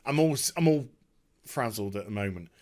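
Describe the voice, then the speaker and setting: A man chatting off the cuff about a video game as a streamer, effusive and overwhelmed, often halting, repeating himself and trailing off. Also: on the low side, expressive, darkish tone. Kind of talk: unscripted rambling game review chat.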